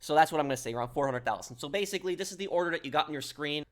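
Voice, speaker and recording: A man's voice: fairly high pitch, very fast. A man giving a casual, step-by-step gameplay tutorial in an instructional way, like an online gaming guide host.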